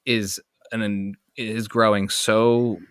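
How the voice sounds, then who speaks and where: An American man hosts a casual podcast chat in a clean, lowish voice. The sound is dry and close. He is enthusiastic and tends to wander through his thoughts as he talks. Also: level tone, slow pace, clean tone, no room sound. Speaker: podcast host. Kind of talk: casual conversational interview.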